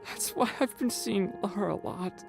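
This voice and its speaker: A man playing a soft, worried teenage girl. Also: sits high, normal speed, vocal fry, soft background music, voice pushed up. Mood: tearful. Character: gentle, worried transgender teenage girl, tearful.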